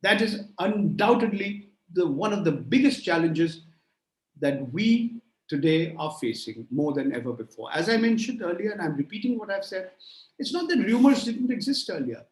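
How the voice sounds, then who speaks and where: A man's voice: high-pitched, expressive, normal speed, echoey room, rough recording. A man in his sixties answering audience questions in a lecture, emphatic and rhetorical, piling up phrases in lists. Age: 60s. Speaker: guest speaker. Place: remote call from a room.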